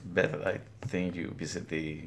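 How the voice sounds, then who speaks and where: An older man with a Guatemalan Spanish accent speaks in a low voice at an unhurried pace. He is plain-spoken and reflective, pausing as he goes, as a guest in an interview. Some room noise sits behind him in the studio. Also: flat delivery. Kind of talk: interview, recounting his life. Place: weaving studio, indoors.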